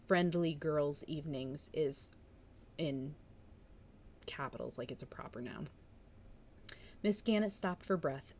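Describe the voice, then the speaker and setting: A woman streamer chatting casually and reading a novel aloud, with an excitable, bubbly manner. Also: sits low, slight background noise. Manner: excitable, bubbly, breaking into laughter. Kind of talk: casual livestream chat and book narration.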